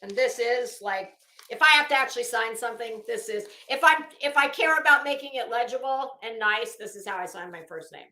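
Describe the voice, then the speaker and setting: A woman's voice: a little high, expressive, a little fast, bright, forceful tone. A woman reading documents aloud dramatically, breaking off into sarcastic, brash, profane commentary as an online host. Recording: echoey room.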